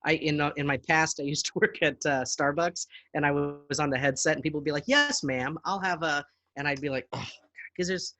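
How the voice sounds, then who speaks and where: A middle-aged man, an actor, chatting casually as an interview guest; he has a high voice and talks with a chatty, self-deprecating manner full of fillers. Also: steady tone, fast, hardly any pauses, fairly bright tone.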